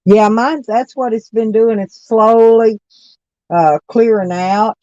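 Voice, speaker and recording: Hoarse, stuffy-nosed woman chatting casually. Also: fairly high pitch, slow pace, warm tone, no room sound, lo-fi sound. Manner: rambling, folksy, self-correcting. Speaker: vlogger chatting with live viewers. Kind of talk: casual morning chat. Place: inside a camper van.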